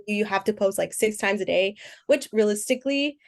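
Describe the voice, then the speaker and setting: A woman in her twenties from the United States answers as a podcast guest at a middle pitch. Her tone is relaxed and matter-of-fact. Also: fairly quick. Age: 20s. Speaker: guest, fashion content creator. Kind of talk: casual interview answers.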